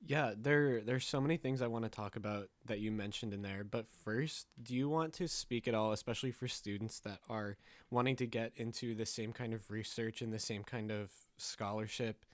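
Guy interviewer, low smooth voice, dry close sound, kinda rambly questions. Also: normal speed, dry recording. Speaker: interviewer host.

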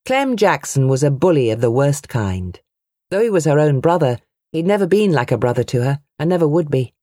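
A woman's voice: low voice, sing-song, fairly quick, warm tone, no echo, clean studio sound. A woman reading a novel aloud as an audiobook narrator, in a dramatic storytelling style.

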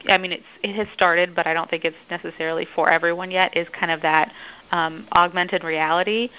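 A woman who writes for a museum, answering interview questions thoughtfully with many filler pauses. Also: fairly low pitch, steady tone, smooth, dull audio.

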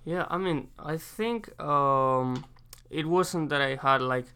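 A young man from Spain with a Spanish accent, an exchange student answering interview questions casually and haltingly, pausing to search for English words. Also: fairly high pitch, bright, forceful tone, clean tone. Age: young adult.

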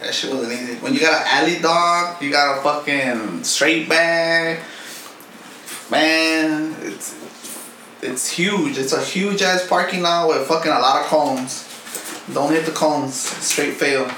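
A man's voice: a little high, unhurried, pushed and bright. A man in his thirties telling stories casually among friends, cursing and defending himself. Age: 30s. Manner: profane storytelling, defensive. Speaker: podcast co-host. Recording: echoey room with faint noise.

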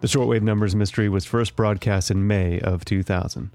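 A man hosting a radio show, narrating from a script in an intimate, measured way. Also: fairly low pitch, fairly even intonation, a little mellow, no echo. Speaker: podcast and radio host. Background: music.

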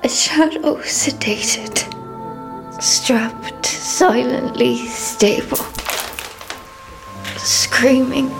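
An actress in a radio drama playing an intense, haunted young poet, reciting haltingly and dropping toward whispers. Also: female voice, fairly high pitch, creaky, exaggerated voice, low-quality recording. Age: young adult. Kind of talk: performance poetry in radio drama.

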